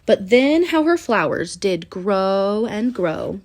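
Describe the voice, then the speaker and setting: Animated woman reading a children's storybook. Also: mid-range voice. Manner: animated, sing-song storytelling with deliberate pauses. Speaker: children's book narrator. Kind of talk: expressive read-aloud of a rhyming children's picture book.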